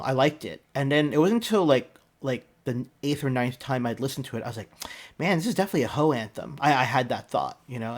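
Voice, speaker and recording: A man hosting a music video reaction, talking casually with lots of ums, restarts and trailing asides. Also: mid-range voice. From United States. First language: English. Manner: rambling, many ums and restarts.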